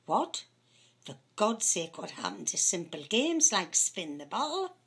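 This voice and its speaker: Husky North East English woman reading drama. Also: mid-range voice, big pitch swings, a little slow, a bit bright. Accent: Houghton-le-Spring pitmatic, North East English. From north-east England. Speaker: amateur script reader. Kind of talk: dramatic dialogue read-through.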